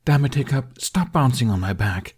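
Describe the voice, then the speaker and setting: A man's voice: mid-range voice, lively intonation, moderate pace, a little mellow, breathy edge, voice pushed up. A man voicing a loyal, exasperated talking dragon in an audiobook, blunt and dry in manner. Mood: irritated. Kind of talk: audiobook character acting.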